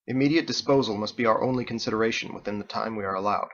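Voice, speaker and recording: Man reading as a stern, formal woman executioner, low. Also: highs cut off, close to an everyday voice. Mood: cold, composed. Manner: formal, measured, clipped without contractions. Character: stern formal female executioner, former lawyer, coldly logical.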